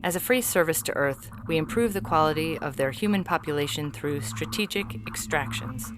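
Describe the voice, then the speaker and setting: A woman's voice: sits low, animated, normal speed, background noise. A woman playing a formal alien agent in audio fiction, reporting on humans in stiff, clinical, precise phrasing with a faintly weary, homesick temper. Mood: formal, official. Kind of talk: scripted audio fiction reading.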